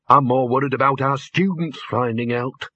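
A man's voice: mid-range voice, normal speed, pushed and bright, breathy edge, no echo, lo-fi sound. A man voicing a fretful, fussy senior wizard dean in a comic fantasy audiobook.

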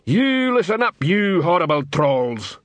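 Man as a pompous troll drill sergeant, swooping pitch. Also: very animated, a little slow, no room sound. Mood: pompous, commanding. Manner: barking, ungrammatical drill orders. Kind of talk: audiobook character voice.

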